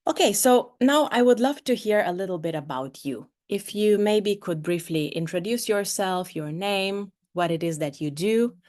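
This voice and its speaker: A woman from Romania, a coach and trainer, speaking in an inviting, measured way as she leads a video-call webinar. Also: mid-range voice, expressive, darkish tone. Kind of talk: live online workshop talk.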